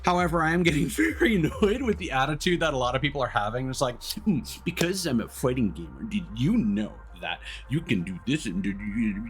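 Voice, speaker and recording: A man giving casual live-stream gameplay commentary, explaining things conversationally and thinking aloud. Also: mid-range voice. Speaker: video game streamer. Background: game music.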